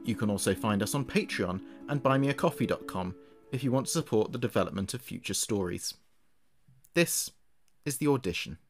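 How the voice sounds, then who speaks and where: A man's voice: on the low side, expressive, fairly quick, faint music behind. A man hosts a story podcast, reading a scripted introduction. He delivers it as a narrator would, addressing listeners directly.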